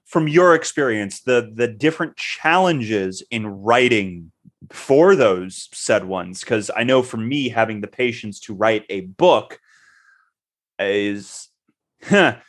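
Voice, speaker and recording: A man's voice: on the low side, expressive, takes it slowly. Rambling man in casual chat. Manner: rambling, hesitant, repeats words. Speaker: co-host. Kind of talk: casual chat about writing.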